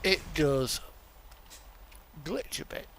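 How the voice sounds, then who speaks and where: A man's voice: on the high side, very animated, extremely slow, a bit bright, slight background noise. A man giving casual, rambling game commentary, thinking aloud and trailing off as he plays. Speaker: streamer playing a truck simulator.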